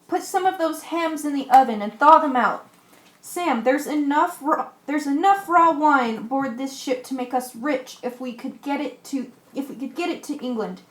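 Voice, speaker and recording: A woman's voice: high-pitched, normal speed, natural voice. A woman acting a practical, commanding shipwrecked sailor in an amateur radio play, reading earnestly with small stumbles. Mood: brisk, marvelling. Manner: earnest, stumbles over scripted lines. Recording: echoey room recording.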